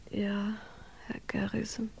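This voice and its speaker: An actress playing a poisoned eighteen-year-old girl who can barely speak above a breathy whisper. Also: mid-range voice, monotone, slow, a little mellow, a little hiss or hum. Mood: faint, weary. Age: young adult. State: weak, barely audible.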